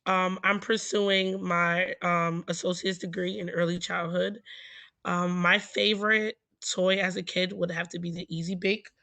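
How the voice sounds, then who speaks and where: A woman from New Jersey introducing herself to a class in a plain, steady way. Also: on the low side, no echo. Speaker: student.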